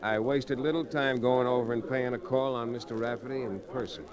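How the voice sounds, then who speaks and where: An actor playing a cool, steady frontier lawyer in an old-time radio western, speaking in a measured, understated way. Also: male voice, mid-range voice, crowd noise, natural voice. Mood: grave narration. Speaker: lead actor and narrator. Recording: noisy old radio recording.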